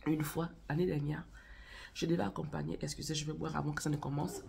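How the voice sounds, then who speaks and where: A woman's voice: deep voice, steady tone, fast talker, vocal fry, darkish tone, slight background noise. A woman hosting a casual vlog, chatting directly to viewers and telling a story while she has a cold and a cough. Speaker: channel host. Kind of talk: casual spoken vlog on faith and marriage.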